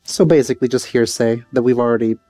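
Casting: male let's play host, mid-pitched, dark relaxed tone, sceptical asides, faint music behind. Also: fast. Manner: wry, sceptical. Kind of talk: casual gameplay commentary. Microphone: close dry mic. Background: faint game music.

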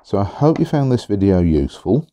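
Guy chatting through a pen review, low mellow voice, bit hoarse, dry close sound. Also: very animated, dry recording. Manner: chatty, unhurried, with fillers and repeats. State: slightly hoarse. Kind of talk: relaxed product review. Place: indoors at a desk. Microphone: overhead camera on the hands, speaker not in frame.

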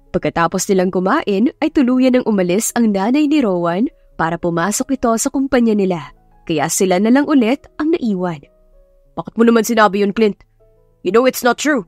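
A woman narrating a romance audiobook in a steady storytelling manner. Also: medium pitch, no echo. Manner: steady storytelling, voices all characters.

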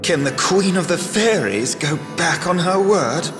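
Sly, slow-spoken enchanted frog. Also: male voice, high-pitched, expressive. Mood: teasing, coaxing. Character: cursed talking frog, once human, sly and suave. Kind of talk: scripted video game voice acting. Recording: roomy hall reverb, music underneath.

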